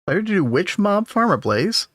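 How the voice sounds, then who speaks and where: Laid-back male streamer, voice going hoarse. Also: sits high, very animated, moderate pace, a bit bright, no echo, clean studio sound. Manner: rambling, easygoing, talks to chat. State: losing his voice. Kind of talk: casual gameplay commentary.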